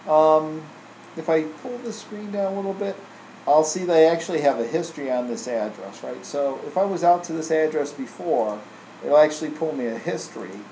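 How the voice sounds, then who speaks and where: A man walking through software in an informal, casual tutorial style, like a trainer showing someone the steps. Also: mid-range voice. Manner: casual, informal, tags statements with "right?". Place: indoor room, screen recording voice-over. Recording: roomy echo, faint air-conditioning hum.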